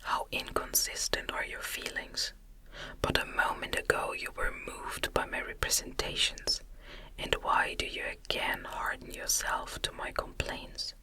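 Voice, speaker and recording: A woman's voice: measured pace, sharp tone. Woman whispering as a lonely, pleading monster. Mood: imploring. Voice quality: breathy unvoiced whisper. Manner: earnest, formal, imploring. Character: lonely, pleading, eloquent male monster, hideous outcast. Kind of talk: ASMR storybook reading.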